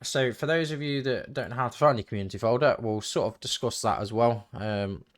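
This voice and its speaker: A man giving a casual, hesitant software walkthrough, thinking aloud as he goes. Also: medium pitch, fairly quick. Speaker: tutorial host. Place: indoors, voice-over for screen recording.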